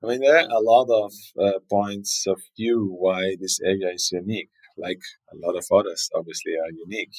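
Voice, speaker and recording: A man's voice: sits low, steady tone, moderate pace, hardly any pauses, low-quality recording. A man from South Tyrol with a German accent, a native German speaker, explaining his region as an interview guest with frequent restarts and fillers. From South Tyrol, Italy. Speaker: winery guest. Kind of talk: conversational interview.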